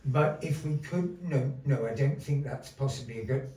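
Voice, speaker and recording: A man acting as master of ceremonies at a live show, polite and halting, with a mock-flustered theatrical patter. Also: mid-range voice, warm tone. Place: indoor gallery performance space. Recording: roomy live venue, distant mic, faint noise.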